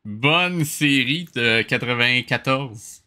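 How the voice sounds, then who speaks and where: A man's voice: mid-range voice, slow. A man with a Quebec French accent hosting a casual, sarcastic, joking reaction commentary. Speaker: reaction show host. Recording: clearly noisy background.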